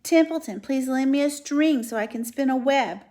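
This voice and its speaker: A woman's voice: medium pitch, unhurried, lightly acted. A woman voicing a lazy, selfish male rat who grumbles and mocks sarcastically. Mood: sarcastic.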